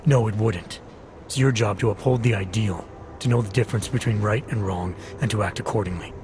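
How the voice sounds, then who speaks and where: An actor playing a stern, principled Interpol agent and ex-cop in a video game, terse and firm. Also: male voice, fairly low pitch, brisk, noisy background, lightly acted. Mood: firm, righteous. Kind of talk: video game cutscene dialogue.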